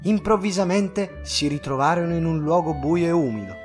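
High-voiced male children's story narrator. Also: normal speed, soft background music. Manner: expressive, storytelling.

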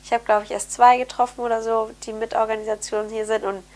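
A young woman with a cold and a nasal, slightly hoarse voice, chatting upbeat to camera in her bedroom. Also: fairly high pitch, level tone, fast, darkish tone. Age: young adult. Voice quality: slightly hoarse, throat clearing. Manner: chatty, upbeat, many fillers. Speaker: vlogger, au pair. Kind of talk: casual chat to camera. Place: bright bedroom indoors. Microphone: camera mic at close framing.

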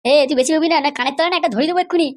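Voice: high voice, racing, natural voice. A performer voicing a hot-tempered, foul-mouthed father and husband who blusters and scolds in a comedy cartoon. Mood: scolding.

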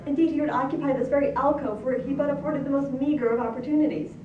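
Squealing little pig, played by a woman, very high pitch, fussy, panicky, pompously archaic wording, comic storybook, distant mic. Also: fairly even intonation, fast talker, phone-quality sound, natural voice. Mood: panicked. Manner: florid, archaic, over-formal wording. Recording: roomy echo, distant mic, faint noise.

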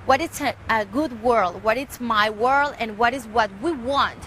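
A woman's voice: fairly high pitch, unhurried, fairly bright tone, slight background noise. A young woman from Medellín, a singer with a Colombian Spanish accent, talking earnestly in English as her second language and searching for words, interviewed outdoors in a garden. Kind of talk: casual interview.

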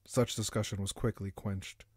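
A man narrating a dark science fiction audiobook in a solemn, grave, dramatic manner. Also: lowish voice, flat delivery, moderate pace, husky, no room sound, studio quality. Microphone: close, dry studio-style microphone.

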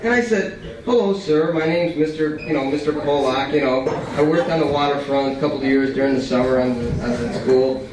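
A man's voice: fairly high pitch, fairly quick, background noise. A young man giving a live reading of his writing in a colloquial, profane way. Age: young adult. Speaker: writer reading his work. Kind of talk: live reading of prose and poetry at a microphone. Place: small dim cafe, indoors. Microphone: stand microphone, picked up by far camera. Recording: echoey cafe, distant camcorder, crowd chatter. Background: audience chatter.

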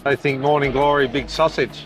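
A man's voice: medium pitch, fairly even intonation, quick pace, over music. A man filming behind the camera, chatting in casual English with dry, cheeky jokes. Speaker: cameraman and co-host.

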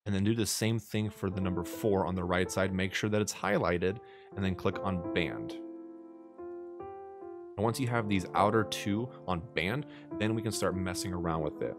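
A young man in his twenties with a low voice, talking through step-by-step software settings on a studio mic in a small bedroom studio. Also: normal speed, over music. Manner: steady step-by-step instructions. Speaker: streaming tutorial creator. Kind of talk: screen-recorded software tutorial. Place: small dim bedroom studio with blue lighting. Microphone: studio mic on boom arm.

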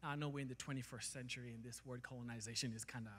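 A man in his thirties from Kenya, preaching a sermon on stage with a handheld mic, building to loud emphatic peaks. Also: mid-range voice, steady tone, racing, a little hiss or hum. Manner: preaching with rising emphasis, gesturing while walking the stage. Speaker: pastor. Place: large church stage, indoors. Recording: long hall reverb.

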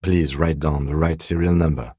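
A meticulous male police detective from a video game, with an extremely low, mellow voice. He is deadpan and restrained, measuring out each remark with dry sternness. The voice is slightly husky. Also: normal speed, dry recording. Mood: deadpan, stern. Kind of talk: scripted game dialogue.

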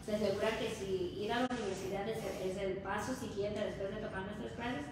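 Female interview guest, Mexican Spanish accent, conversational explainer, mid-pitched, very roomy sound. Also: steady tone, brisk, distant mic. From Hidalgo, Mexico. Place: indoor lounge nook with brick wall and concrete floor. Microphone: distant camera or phone. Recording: echoey, noisy room, off-mic.

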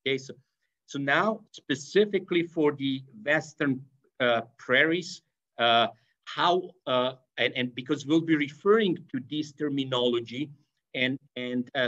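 A middle-aged man from Yugoslavia with an Eastern European accent, giving an online lecture, often pausing with fillers and restarting phrases. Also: medium pitch, a bit bright. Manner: hesitant, many fillers and restarts. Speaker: veterinary professor. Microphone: video call webcam. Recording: slightly dull video call audio.

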